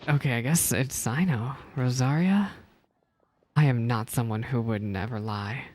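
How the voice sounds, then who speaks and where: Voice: medium pitch, unhurried, a little mellow. A video game streamer reading game text aloud and reacting with emotional commentary. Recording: game music behind the voice. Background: video game music.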